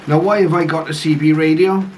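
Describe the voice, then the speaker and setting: Guy doing a casual gadget unboxing, bit high voice, hesitant and low-key, some noise in the recording. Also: fairly even intonation, brisk, a little mellow. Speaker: radio hobbyist reviewer. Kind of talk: casual unboxing and first-look commentary. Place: conservatory, later a home radio room. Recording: clearly noisy home recording.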